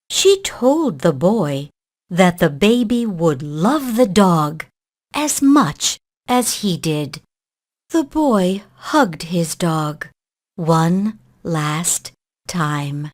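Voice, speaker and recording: A woman reading a children's story aloud in a measured, expressive narrating style. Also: mid-range voice.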